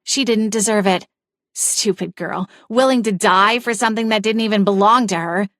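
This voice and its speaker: A woman's voice: mid-range voice, moderate pace, a bit bright, no echo, natural voice. A woman voicing a bitter, scheming middle-aged wife who speaks sharply and with scorn, in a dry recording. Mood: scornful.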